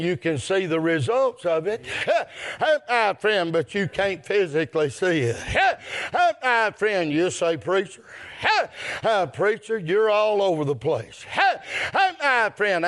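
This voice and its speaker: A Southern US man preaches fervently in a high voice that swings widely up and down. He takes his time, breaking the sermon into short chanted phrases and coming back to stock refrains. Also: animated, measured pace. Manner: chanting cadence with loud gasped breaths between short phrases.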